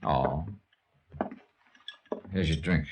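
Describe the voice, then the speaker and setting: A man's voice: extremely low pitch, extremely slow, slight background noise, heavily acted. An actor playing a shrewd, sardonic male investigator in a 1950s radio detective drama, with clipped, probing delivery. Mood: dry, sardonic. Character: shrewd, wry male insurance investigator, hard-boiled sleuth. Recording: vintage radio drama recording.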